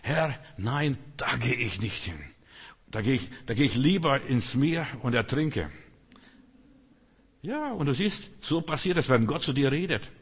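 A man's voice: mid-range voice, lively intonation, a little slow, husky. Non-native man preaching in an echoey hall. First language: not German. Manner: conversational preaching, frequent "verstehst du?" asides. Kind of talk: sermon. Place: church hall.

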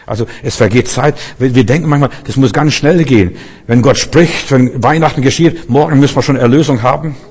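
A man in the middle of a Christmas sermon, speaking with fervor and turning again and again to the congregation with questions. His phrases come back two or three times for emphasis. His voice sits at an ordinary male pitch and trails a long reverb through the church hall. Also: brisk, a little mellow, breathy edge. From Berlin. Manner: fervent, repeats himself, asks the listeners directly. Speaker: preacher.

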